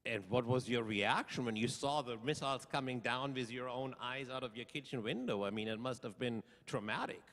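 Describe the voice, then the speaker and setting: A man's voice: mid-range voice, lively intonation, brisk, few pauses, fairly bright tone. Man interviewer in a reverberant hall. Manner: leading questions, recaps answers. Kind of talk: church testimony interview.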